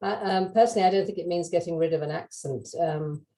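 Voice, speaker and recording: British woman presenter, low mellow voice, calm and measured, frequent ums. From United Kingdom. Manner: measured, many "um"s, conversational. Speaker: webinar speaker, language expert. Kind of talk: answering audience questions.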